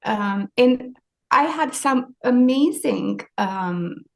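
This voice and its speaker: A woman's voice: on the high side, animated, extremely slow, creaky. A woman in her forties with a Romanian accent, answering interview questions in a reflective, hesitant way. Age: 40s. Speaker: interview guest, maritime sustainability leader.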